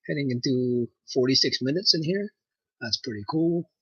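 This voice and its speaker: A man's voice: mid-range voice, normal speed, husky, no room sound, dull audio. A young man from Nova Scotia with a French Canadian accent, chatting casually and rambling on his livestream with lots of fillers. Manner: rambling, relaxed, with frequent fillers. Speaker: livestream host.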